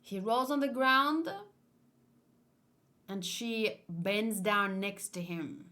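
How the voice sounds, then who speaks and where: A woman livestreaming in a casual, expressive and playful way, chatting and commenting as she reads aloud. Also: mid-range voice, slow. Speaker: streamer hosting a manga read-along.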